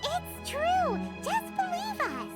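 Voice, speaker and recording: A woman voicing a perky, childlike little girl companion in a video game, squeaky, chirpy and blunt. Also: wildly swooping pitch, slow, full character voice. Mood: insistent. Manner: chirpy, exclamatory. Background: music.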